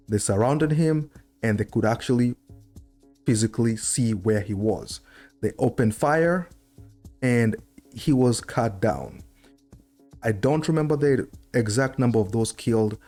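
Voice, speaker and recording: Middle-aged man, mellow slightly low voice, conversational history commentary, close desk mic, faint music behind. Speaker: host. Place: indoor room at a desk. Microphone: desktop microphone close to the mouth.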